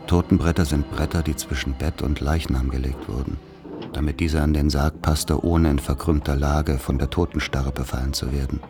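An actor in a radio play playing a grave man who recalls a dark rural childhood, speaking in solemn, measured, poetic phrases with a husky voice. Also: a bass voice, steady tone, music in the background. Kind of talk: literary radio play monologue.

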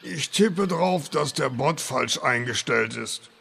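A man playing a sardonic, unimpressed animal sidekick in a parody audio drama, deadpan and drawling. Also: mid-range voice, slow, gravelly, slightly rough, exaggerated voice.

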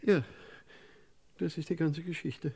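An actor playing a flustered, eccentric gentleman professor in a crime radio play, fussy and excitable, with a tendency to stammer. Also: on the high side, measured pace, creaky, slightly rough, heavily acted. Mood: nervous, explaining.

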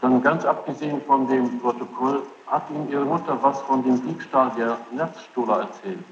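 A man's voice: medium pitch, moderate pace. A man cross-examining a witness with direct, insistent questions, recorded in a large courtroom on old tape. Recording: echoey hall, dull noisy archive tape.

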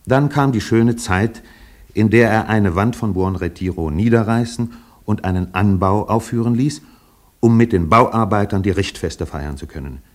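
A man narrating a literary radio play in a measured, precise, faintly ironic manner. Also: lowish voice, natural voice.

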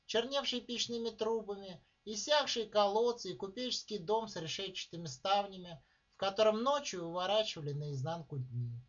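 A man reading his own short story aloud in a measured, deliberate way, with dramatic pauses, at a live reading. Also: high voice, fairly bright tone. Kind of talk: literary reading of prose. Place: live reading at a writers' club. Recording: dull lo-fi recording.

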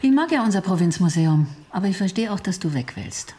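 An actress in a radio play playing an opinionated, politically minded museum colleague with a sardonic, chatty, emphatic manner. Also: female voice, on the low side, sing-song, brisk, mellow, a little hiss or hum. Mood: chatty, teasing. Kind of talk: naturalistic radio drama dialogue.

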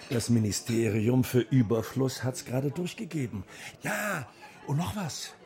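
An actor playing a jovial, foolish, over-eager Party busybody in a radio drama, with a blustering, chummy way of talking. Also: male voice, medium pitch, a little slow, a little mellow, close to an everyday voice. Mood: excited, chummy.

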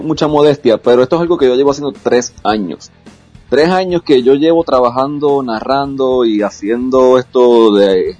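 A man's voice: medium pitch, mellow, highs cut off. Self-assured man, restarts often. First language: Spanish. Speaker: esports caster, guest. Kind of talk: casual podcast interview.

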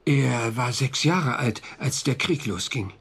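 An actor playing a conscientious male doctor in a radio drama, speaking in a hesitant, halting and earnest way. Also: mid-range voice, expressive, a bit bright, husky, full character voice. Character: flustered, conscientious male doctor pleading for a patient.

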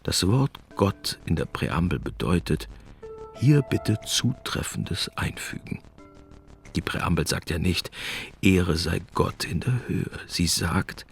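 A man's voice: low voice, expressive, slow pace, vocal fry, soft background music. A man giving essayistic commentary in a dry, explanatory way.

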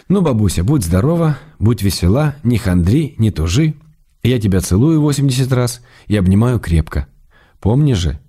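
A man's voice: medium pitch, dark tone, slightly rough. A man acting in a radio drama as a wry, tender, weary writer in love, reading his letters with intimate understatement.

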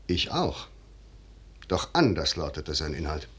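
An actor playing a shrewd, commanding, noble general in a 1950s German radio drama, speaking in measured, deliberate declamation. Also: male voice, very deep voice, very animated, breathy edge, heavily acted. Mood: grave, revealing.

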